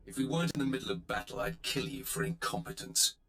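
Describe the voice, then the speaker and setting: A dark warrior lord in a space-fantasy game, arrogant and threatening. The voice is forceful and hard-edged, with animated swings in pitch. It rings in an echoey space. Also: male voice, fast talker, vocal fry, a little hiss or hum. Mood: menacing. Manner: imperious, threatening, curt. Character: menacing, arrogant male Sith warrior lord. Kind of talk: video game voice acting. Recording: echoey, roomy sound.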